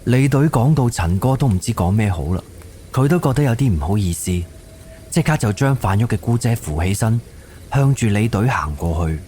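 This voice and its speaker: A man's voice: fairly low pitch, animated, normal speed, slight background noise. A man with a Cantonese accent narrating a scripted suspense ghost story for an audio drama. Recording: soft music under the voice. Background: background music.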